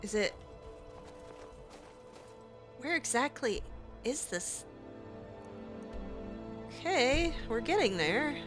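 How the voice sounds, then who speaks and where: A woman's voice: high voice, sing-song, extremely slow, long pauses. A woman playing a video game in character, chatting along with plenty of fillers and restarts. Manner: chatty, hesitant, lots of fillers. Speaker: let's play gamer. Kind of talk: in-character game roleplay commentary. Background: faint game music.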